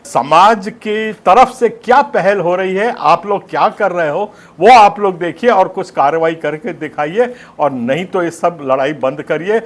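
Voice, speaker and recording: Indian guy, kinda high voice, emphatic and scornful, appealing to people with rhetorical questions. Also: a little slow, few pauses.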